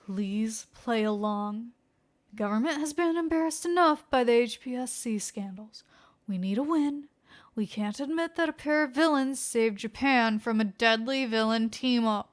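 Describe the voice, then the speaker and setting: A woman's voice: on the high side, normal speed, lightly acted. A woman voicing a weary male police chief who pleads and placates in a story reading. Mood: weary, coaxing.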